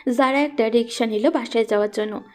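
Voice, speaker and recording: A woman's voice: on the high side, a little fast, a little mellow. A woman reading scripted narration for a romantic story as its narrator.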